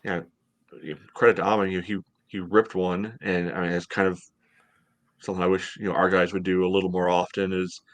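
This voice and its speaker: Brief: male co-host, thirties, deep voice, dry close sound, casual match breakdown. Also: little pitch movement, brisk, dry recording. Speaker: podcast co-host. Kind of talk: casual sports analysis. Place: home room, on a video call.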